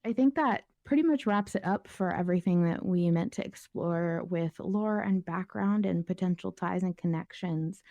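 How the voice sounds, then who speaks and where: Female podcast host, casual and conversational, soft mellow tone, close dry sound. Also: fairly low pitch, normal speed, hardly any pauses, no echo, lo-fi sound.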